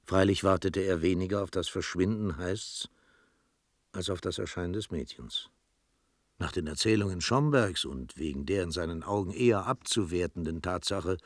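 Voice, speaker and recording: A man with a husky voice narrating a literary radio play in a dramatic storytelling style. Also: deep voice, no echo, clean studio sound.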